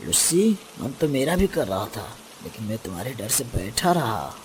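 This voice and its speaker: A man voicing a timid, food-loving male parrot in a cartoon story, excitable and whiny. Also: mid-range voice, moderate pace, a little hiss or hum, close to an everyday voice.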